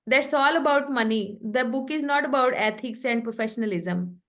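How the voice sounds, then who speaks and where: A woman's voice: fairly high pitch, normal speed, a bit bright. A woman whose first language is Urdu, a guest lecturer answering questions in an online webinar, hesitant with many fillers.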